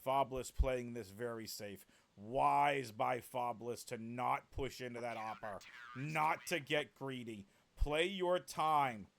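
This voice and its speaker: A man casting an esports match solo, with a deep voice, calling the plays and chatting with viewers, and excitable enough to build to shouts on big plays. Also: slow pace, vocal fry. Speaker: esports caster. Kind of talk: solo play-by-play esports commentary.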